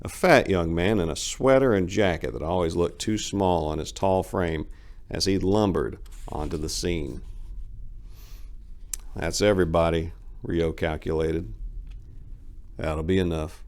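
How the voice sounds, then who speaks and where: A man's voice: low-pitched, moderate pace, a little mellow. A man hosting and narrating his own short stories in a dramatic, scripted reading style. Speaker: host, author and narrator.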